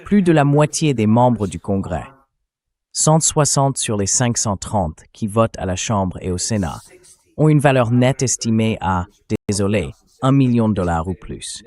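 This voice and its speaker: A man's voice: on the low side, lively intonation, a little slow, darkish tone. A man's AI dubbing voice delivering blunt, indignant, sarcastic political commentary. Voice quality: synthetic AI dubbing voice.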